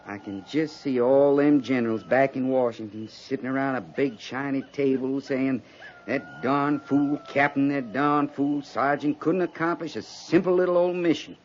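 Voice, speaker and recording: A man's voice: mid-range voice, normal speed, close to an everyday voice. A man playing a wry, grumbling but loyal frontier sergeant with a rustic American drawl in a 1950s radio western. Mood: sardonic. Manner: drawling, sardonic understatement. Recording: dull, noisy 1950s radio recording.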